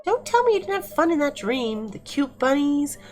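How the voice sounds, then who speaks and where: A woman's voice: high-pitched, big pitch swings, moderate pace, a little mellow, pitched above the performer's own voice. A woman voicing a bubbly, teasing young cafe apprentice in a visual novel. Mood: playful. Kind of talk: visual novel character reading. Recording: music playing behind the voice.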